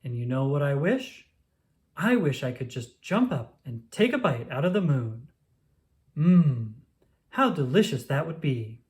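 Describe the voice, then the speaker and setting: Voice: mid-range voice, sing-song, measured pace, darkish tone. A storyteller voicing a dreamy, eager and naive bear in a children's storybook, speaking earnestly and expressively. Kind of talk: children's storybook read-aloud.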